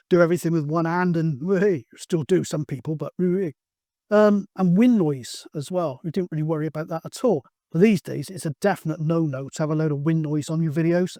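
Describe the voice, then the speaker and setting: A man's voice: a little high, a little mellow, dry recording, clean studio sound. A middle-aged man, giving a casual, rambling vlog introduction in a chatty, anecdotal, colloquial way. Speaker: video host, radio hobbyist.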